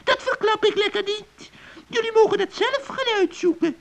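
A performer playing a gloating, spiteful old witch in a children's radio play, with a creaky, cackling voice and a mock-friendly, taunting manner. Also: extremely high pitch, moderate pace.

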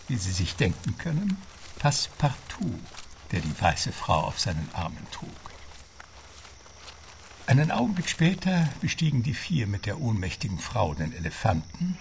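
A man's voice: medium pitch, creaky, slight background noise. A man narrating an adventure radio drama as a composed storyteller with scripted delivery.

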